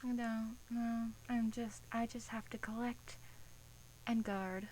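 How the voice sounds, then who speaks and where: A woman playing a bored, teasing vampire guard in a soft-spoken ASMR roleplay, coaxing in hesitant, drawn-out phrases with an undertone of menace. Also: on the high side, little pitch movement, poor audio. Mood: matter-of-fact, menacing. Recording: faint background hiss.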